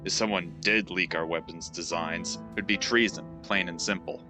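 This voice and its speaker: A man's voice: low voice, moderate pace, over music, lightly acted. A man playing a blunt, confident mechanic in an amateur audio drama, terse and matter-of-fact.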